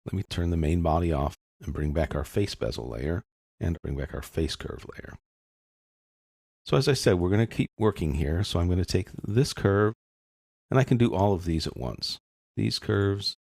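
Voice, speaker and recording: A man narrating a software tutorial in a casual, methodical way, explaining each step as he goes. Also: low voice, expressive, normal speed, gravelly, no echo, clean studio sound. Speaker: software instructor.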